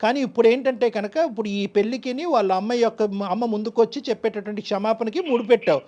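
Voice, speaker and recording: A woman's voice: on the high side, steady tone, rapid-fire, warm tone, dull audio. A woman counselor mediating in spontaneous talk, wordy and admonishing, pressing her points with rhetorical questions. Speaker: counselor mediating a couple's dispute.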